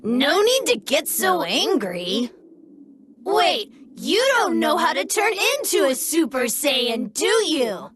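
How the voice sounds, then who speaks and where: An actress voicing a boisterous, bratty little boy fighter, bragging and excitable, in an English anime game dub. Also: sits high, slow, a little hiss or hum, full character voice. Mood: pestering, cheeky. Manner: bragging, excitable, childish sound effects. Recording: loud music over the voice.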